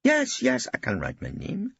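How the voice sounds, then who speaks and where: Man putting on a high, defensive young lad. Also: huge pitch swings, a little slow, vocal fry, fairly bright tone, husky, lo-fi sound, exaggerated voice. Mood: hurt, irritable. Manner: plain colloquial speech, defensive. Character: young, proud, easily hurt male defendant, illiterate.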